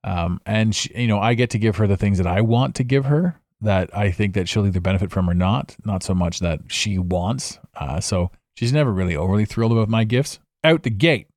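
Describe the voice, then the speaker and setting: A man's voice: fairly low pitch, fairly quick, hardly any pauses, darkish tone, no room sound. A man hosting a solo podcast from his home studio, rambling and reflective, with frequent restarts. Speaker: podcast host. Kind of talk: impromptu solo podcast monologue. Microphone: studio microphone.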